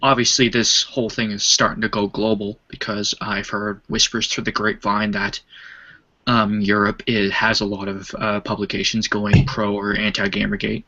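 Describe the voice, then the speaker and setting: A low-pitched man speaks in a flat, level tone with a bright but husky voice. He lays out his views in a measured way over a call whose audio sounds dull and lo-fi. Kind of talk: casual online voice chat. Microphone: online voice call.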